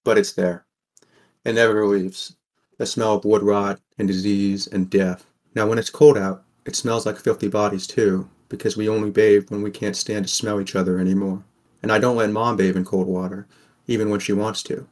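A man's voice: on the low side, flat delivery, normal speed, darkish tone, close to an everyday voice. A man playing a weary, wry sixteen-year-old boy from a poor mining town, narrating a fan audio drama in the first person. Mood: somber.

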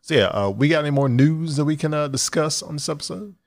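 A man's voice: a little high, lively intonation, quick pace. A man hosting a casual podcast, joking around in a laid-back way between segments. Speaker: podcast host.

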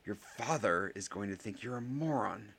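A clever young schoolboy from a storybook, acted out by a man. His speech is deliberate and articulate, with firm determination. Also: sits low, lively intonation, a bit bright. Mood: hissing.

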